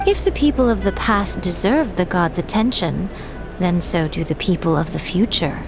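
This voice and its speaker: A woman's voice: on the low side, animated, moderate pace, poor audio. A woman voicing a playful, sly female shrine priestess in a game, talking in a light, teasing way over game music. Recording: game audio with loud music.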